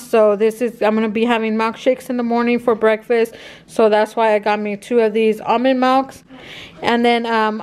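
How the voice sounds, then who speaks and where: A woman's voice: fairly high pitch, clean tone. A woman in her thirties chatting casually at home as she shows her grocery haul to the camera, rambling with fillers. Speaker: vlogger. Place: home kitchen, indoors.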